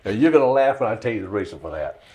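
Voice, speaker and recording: An older-sounding man with a deep Southern American accent, telling stories in a folksy, rambling way in a casual interview. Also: sing-song, rapid-fire, mellow. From Georgia, United States. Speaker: interview guest, songwriter and singer.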